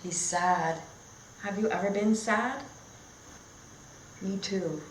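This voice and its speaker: A woman reads a children's story aloud as a teacher, at a slow and careful pace. She keeps her phrases short and simple and often turns to questions for her listeners. The room is echoey, with noticeable background noise. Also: mid-range voice. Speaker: teacher demonstrating read-aloud techniques. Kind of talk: storybook read-aloud lesson for young learners.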